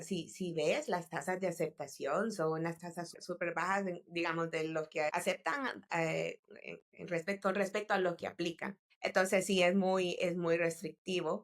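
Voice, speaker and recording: A woman from El Salvador, a guest in an interview. She speaks thoughtfully, often restarts phrases and mixes in English business terms. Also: mid-range voice. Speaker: guest entrepreneur. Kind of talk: interview conversation.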